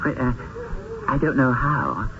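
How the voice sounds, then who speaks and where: An actor playing an earnest, mystical man down on his luck in an old-time radio drama, halting and fervent. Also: mid-range voice, sing-song. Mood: desperate, halting. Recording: dull, hissy old radio broadcast.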